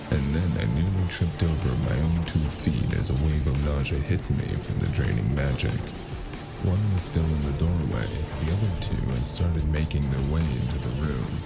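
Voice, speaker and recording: A man playing a weary, wry noir detective in an audio drama, speaking tersely with a gravelly voice. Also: very deep voice. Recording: music under the voice.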